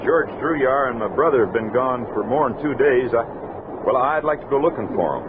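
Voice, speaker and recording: A man's voice: mid-range voice, animated, a little fast, phone-quality sound, close to an everyday voice. An actor playing an earnest, obedient army enlisted man, plain-spoken and respectful, in a radio drama. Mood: respectful, uneasy. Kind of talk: 1960s radio drama acting. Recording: band-limited noisy vintage radio drama recording.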